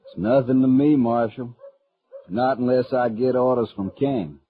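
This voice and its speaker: A cool, insolent trail cowboy drawling in a middle register, laconic and unworried. The sound is narrow and tinny, like an old radio western. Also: male voice, mid-range voice, fairly even intonation, unhurried, breathy edge. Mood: cool, needling. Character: unworried, insolent cowhand loyal to a cattle boss. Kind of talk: 1950s western radio acting. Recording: tinny band-limited old radio recording.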